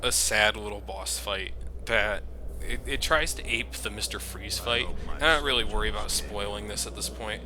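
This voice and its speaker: A man's voice: on the low side, normal speed, background noise. A man giving casual, informal commentary on a video game. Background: game music and sound effects.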